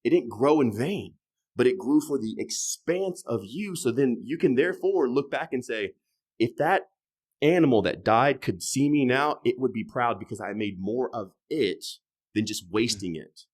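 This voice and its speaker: A man in his thirties, a podcast guest in casual conversation, talking loosely and with swearing, jumping from loud emphatic bursts to quiet trailing asides. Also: medium pitch, animated, normal speed. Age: 30s.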